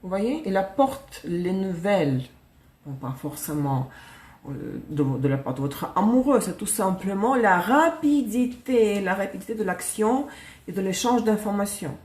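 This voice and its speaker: Woman, mid-pitched, chatty live tarot reader, roomy echoey sound. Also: lively intonation, a little slow, a little mellow. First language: Russian. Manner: chatty, self-correcting, laughs often. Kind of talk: live-stream tarot reading. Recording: echoey room.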